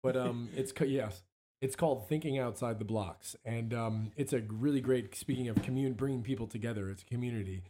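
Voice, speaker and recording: A man with a hoarse voice and a dark, mellow tone speaks at a middling pitch. He talks as a songwriter guest in a casual interview, rambling and often interrupting himself mid-thought. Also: a little fast, a little hiss or hum. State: hoarse from allergies, clearing throat.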